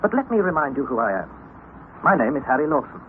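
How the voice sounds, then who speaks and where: A man's voice: mid-range voice, big pitch swings, fast talker, close to an everyday voice. Man acting an earnest young adventurer on narrow old radio. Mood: grave, recounting. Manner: earnest, dramatic, formal storytelling delivery. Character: anxious young male student recounting his expedition. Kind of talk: dramatized radio narration of a classic adventure novel. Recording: noisy, narrow-band old radio recording.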